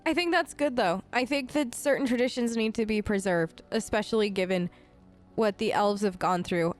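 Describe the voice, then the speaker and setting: A woman improvising casual in-character chat for a role-play let's play, informal and slangy. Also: mid-range voice. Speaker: gamer role-playing her elf character.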